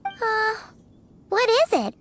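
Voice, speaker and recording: A woman voicing a small, chatty fairy-like girl in a video game, excitable, cheeky and referring to herself in the third person. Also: very high voice, slow, slight background noise, exaggerated voice. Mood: eager, curious. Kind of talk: animated video game voice acting.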